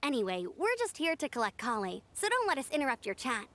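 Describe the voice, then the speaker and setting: A woman's voice: sits high, big pitch swings, quick pace, fairly bright tone. A woman voicing a cheerful, outgoing young scout in a video game, bubbly and friendly. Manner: bubbly, friendly, laughs easily. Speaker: scout outrider.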